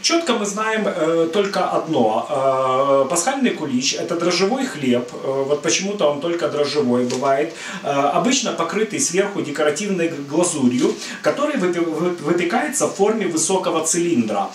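A man's voice: on the high side, lively intonation, moderate pace, a little hiss or hum. A middle-aged man from Ukraine hosting a cooking channel, explaining in a friendly, rambling way with many "uh" fillers, recorded in a home kitchen. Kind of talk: casual cooking talk to camera. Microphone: far camera. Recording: echoey kitchen, voice from a distance.

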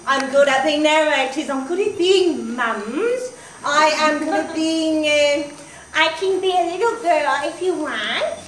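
A woman's voice: high-pitched, a little slow, a bit bright. A woman with an English accent introducing herself on stage in a bubbly, playful way. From England. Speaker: actor auditioning. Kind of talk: casual self-introduction on stage. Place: hall stage with seated audience. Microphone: far camera. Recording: echoey hall, noisy, far off.

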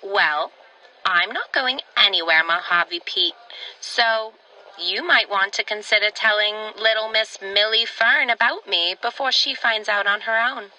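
Woman playing a sharp, sassy diner waitress. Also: mid-range voice, unhurried, lo-fi sound. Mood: challenging, flirty. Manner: pointed, flirtatious needling. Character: sassy, teasing small-town diner waitress, old flame. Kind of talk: old-time radio drama acting.

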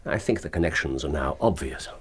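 An actor playing an incisive, superior English gentleman detective with a husky voice and dry, precise manner in a radio drama. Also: rumbling low, lively intonation, a little fast, full character voice. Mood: assured, explanatory. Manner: precise, superior, dryly sardonic.

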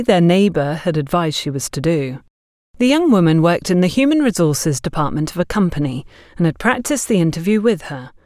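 A woman narrating a scripted story in an expressive way, as in an audiobook. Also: fairly low pitch, no room sound, clean studio sound. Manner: expressive, acts out dialogue.

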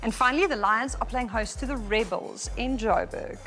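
South african lady hosting rugby tv, bubbly and upbeat, kinda high voice, soft music under it. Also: normal speed. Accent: South African English. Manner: bubbly, upbeat presenter patter. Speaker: TV rugby show host. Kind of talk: studio presenting and interviewing. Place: TV studio.

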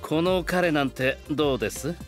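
An actor playing a polite, composed young warrior in an anime voice drama. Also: male voice, fairly high pitch, moderate pace, faint music behind, close to an everyday voice. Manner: courteous, formal.

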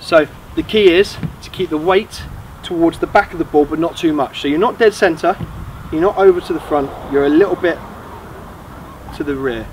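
A man's voice: fairly high pitch, moderate pace, rough recording. A man in his thirties giving an encouraging, emphatic how-to tutorial as a coach. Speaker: host and acrobatics coach. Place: grassy field outdoors. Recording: outdoor noise, traffic ambience.

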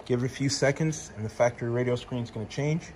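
A man's voice: medium pitch, fast talker, a little mellow, a little hiss or hum. Matter-of-fact man demoing gear in a garage. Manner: plain-spoken, matter-of-fact, with frequent ums and uhs. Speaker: motorcycle audio shop owner. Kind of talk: casual product demo and review. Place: garage workshop with a motorcycle. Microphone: phone camera at arm's length.

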